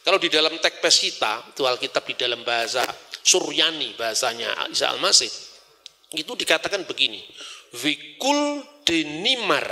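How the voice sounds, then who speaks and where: A man with a Javanese accent leads a Bible lesson, his voice very bright and ringing and sitting a little high. He teaches emphatically, tossing out rhetorical questions and chatty asides.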